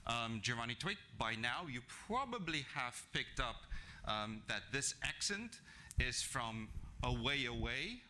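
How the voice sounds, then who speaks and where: A man's voice: medium pitch, sing-song, measured pace, bright, forceful tone, slight background noise. A middle-aged man from South Africa, English not his first language, giving a conversational talk at a business breakfast with frequent ums and restarts. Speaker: guest speaker. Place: function room with an audience. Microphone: podium microphone.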